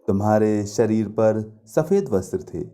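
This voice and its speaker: A man's voice: lowish voice, animated, unhurried, warm tone. A man playing an earnest, devoted young student from mythology in a story, speaking reverently and in a declamatory way. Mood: fond, nostalgic.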